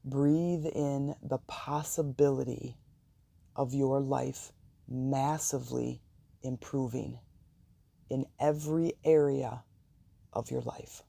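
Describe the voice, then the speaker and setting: Slow, very dark gravelly guided meditation voice. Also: mid-range voice, no echo. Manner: slow, calm, soothing, deliberate pauses.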